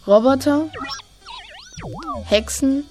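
A woman's voice: sits high, very slow. A woman reading scripted narration as the storyteller of a children's audio play.

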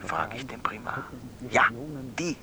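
A man's voice: mid-range voice, sing-song, a little slow, over a noisy crowd. A man with an Austrian accent playing an eccentric phone-in caller in a radio drama, earnestly telling an absurd tale. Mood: dramatic, hushed.

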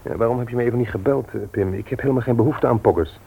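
A man's voice: medium pitch, rapid-fire, creaky, slightly rough. An actor playing a reluctant, businesslike male shopkeeper in a Dutch radio play. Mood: reluctant, bargaining. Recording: tinny, narrow-band old radio recording.

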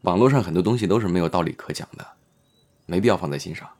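A man acting a calm, modest actor and mentor in an audio drama, speaking in a mild, reserved, reassuring way. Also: sits low, racing. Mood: calm reassurance.

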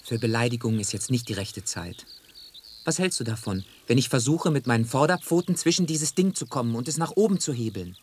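An actor playing an earnest, plucky young tomcat in love in a radio drama, speaking in formal, wordy sentences. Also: male voice, medium pitch, lively intonation, fairly quick, darkish tone, a little hiss or hum, close to an everyday voice. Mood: urgent, helpful.

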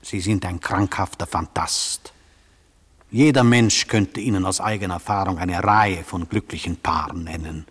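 A man's voice: low-pitched, normal speed, fairly bright tone, breathy edge. An actor playing a stern, sober judge in a German radio drama, firm, dry and formal as he questions a defendant. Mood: rebuking. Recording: long hall-like reverb.

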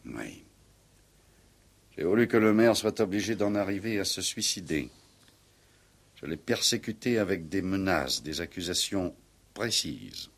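An actor in a 1960s French radio play playing a proud, middle-aged former Resistance commander, speaking in a grave, formal, solemn way. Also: male voice, deep voice, big pitch swings, unhurried, gravelly, long pauses, breathy edge, heavily acted. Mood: haunted, intense.

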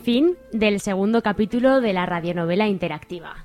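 A woman's voice: medium pitch, animated, a little fast. Spanish woman narrating over music. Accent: Spain. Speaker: radio serial narrator and presenter. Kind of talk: scripted narration.

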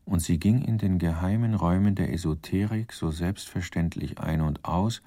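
A man narrating a scripted radio drama in a measured, wry, literary manner. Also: deep voice, mellow, no echo, clean studio sound.

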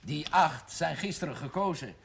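Casting: earnest union chairman, fairly high gravelly voice, formal oratory, 1970s TV drama. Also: animated, moderate pace, a bit bright, heavily acted. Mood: earnest, proud. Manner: oratorical, emphatic. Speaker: actor as meeting chairman. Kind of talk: 1970s television drama acting, meeting speech.

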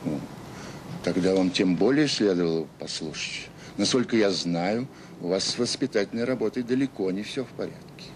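Low-voiced, sarcastic official. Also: male voice, lively intonation, normal speed. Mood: sarcastic, polite. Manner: oratorical, condescending. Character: self-assured, sarcastic male education official lecturing a meeting. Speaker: conference speaker. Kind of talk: radio drama acting, formal speech. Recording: noisy 1980s audio play with rumble.

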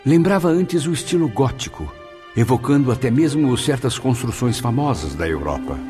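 A man's voice: medium pitch, expressive, moderate pace, dark tone, lightly acted. A man playing an earnest, grateful spirit student in a radio drama, speaking formally and reverently. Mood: awed. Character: Camilo, earnest, grateful male spirit student recounting his afterlife. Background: background music.

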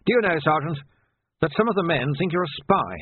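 A flustered police captain near seventy acted by a male audiobook reader, pitched high and swooping about. He is proud yet unsure of himself, blustering like an old soldier and then trailing into hesitation. The sound is thin, as if down a phone line. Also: lively intonation, fairly quick, breathy edge, dry recording. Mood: earnest, hesitant. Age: 60s. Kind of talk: audiobook character voice. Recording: narrow, phone-like band.

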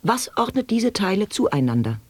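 An actress in a 1980s radio play, posing formal questions in a measured, earnest way. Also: female voice, mid-range voice, sing-song, a little mellow, slightly rough, dry recording. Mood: questioning. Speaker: voice in a sound-poetry radio play. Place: dry radio studio.

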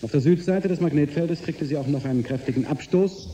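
A man's voice: fairly high pitch, brisk, mellow, echoey room, slight background noise, natural voice. A man in a radio drama playing a blunt, matter-of-fact executive briefing a rival. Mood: businesslike, grave.

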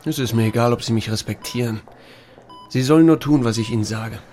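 A man's voice: mid-range voice, a little fast, a little mellow, natural voice. An actor playing an unflappable small-town sheriff who tells his own story in an audio drama, dry, wry and understated. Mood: calm, firm. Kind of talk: first-person narration and dialogue in audio drama.

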